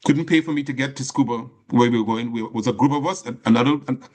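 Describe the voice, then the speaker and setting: A middle-aged man from Trinidad with a Trinidadian accent, giving an earnest personal talk as a guest speaker, stressing phrases slowly and restarting often. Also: mid-range voice, a little mellow.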